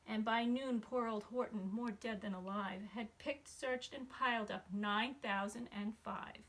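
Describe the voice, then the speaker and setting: A woman reads a children's story aloud with plenty of expression. Her voice is bright and fairly high. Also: steady tone, unhurried, poor audio. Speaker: storybook reader, school staff member.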